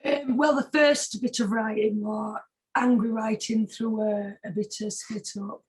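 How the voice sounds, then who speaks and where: A British woman with a husky voice chatting casually as a singer being interviewed, informal and emphatic, often repeating herself for stress. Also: a little high, steady tone, measured pace, a little mellow, rough recording. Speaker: singer-songwriter guest.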